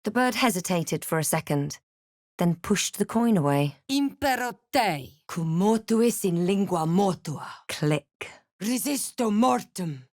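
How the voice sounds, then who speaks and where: A woman playing a snarky, brave adventurer spellcaster in a fantasy video game, speaking in a theatrical, wry and deliberate way. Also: mid-range voice, expressive, a little mellow, dry recording, studio quality, natural voice. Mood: incanting, commanding. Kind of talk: video game voice lines, dramatic narration and spell chants.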